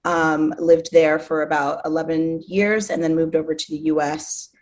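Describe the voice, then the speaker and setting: Woman in her 30s from zimbabwe, lowish voice, chatty and upbeat, lots of likes and you knows. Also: level tone, moderate pace, darkish tone. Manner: enthusiastic, many fillers like "like" and "you know". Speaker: guest, artist manager. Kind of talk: casual interview conversation.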